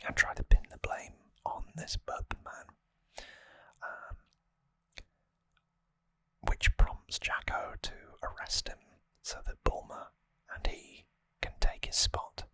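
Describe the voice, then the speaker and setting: An ASMR host whispering a casual, relaxed, rambling trivia recap very close to the microphone. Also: extremely high pitch, no echo, rough recording.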